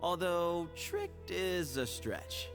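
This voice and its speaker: Lively, slightly gravelly young schemer. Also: male voice, high-pitched, extremely slow, music in the background, heavily acted. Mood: sly, amused. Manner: teasing, nonchalant. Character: Sethos, breezy, sly young desert man, playfully evasive. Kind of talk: anime game voice acting.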